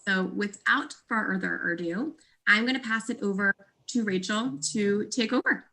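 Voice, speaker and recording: Bright-voiced woman moderating a webinar. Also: mid-range voice, a little slow, vocal fry, slight background noise, low-quality recording. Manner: warm, enthusiastic welcome. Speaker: event moderator. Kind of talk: introducing speakers.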